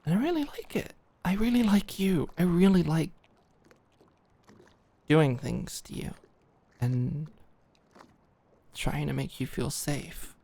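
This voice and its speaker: A young woman playing a smitten, protective girl at camp in an intimate ASMR roleplay, speaking earnestly and haltingly. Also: low voice, dark tone, exaggerated voice. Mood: shy, hopeful. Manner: halting, earnest, giggly, stammering. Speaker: audio roleplay performer.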